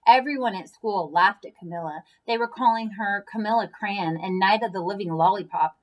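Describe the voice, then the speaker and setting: A woman reading a picture book aloud for children in an expressive storytelling style. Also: medium pitch, normal speed, dull audio.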